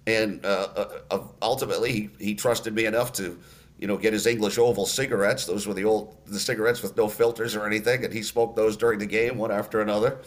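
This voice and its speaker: A man in his sixties from Massachusetts, a radio announcer telling stories in a podcast interview with many "uh" pauses. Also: fairly low pitch. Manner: relaxed storyteller, many "uh" pauses.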